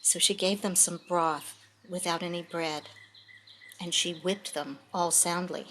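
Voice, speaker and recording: A woman's voice: on the low side, fairly even intonation, measured pace, a bit bright, a little hiss or hum. A woman voicing an eager, slightly boastful nursery-rhyme girl puppet who recites in a sing-song way. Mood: reciting.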